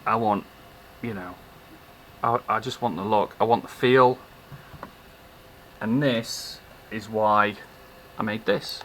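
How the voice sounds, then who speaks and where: A man talking casually and haltingly in a low-key, unscripted showcase of something he made. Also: a bit bright, slight background noise, poor audio. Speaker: maker showing his work.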